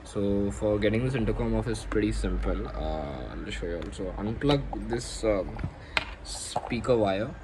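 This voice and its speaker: Low-pitched Indian man, noisy recording. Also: animated, unhurried. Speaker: motovlogger presenting own gear. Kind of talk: casual unscripted product show-and-tell.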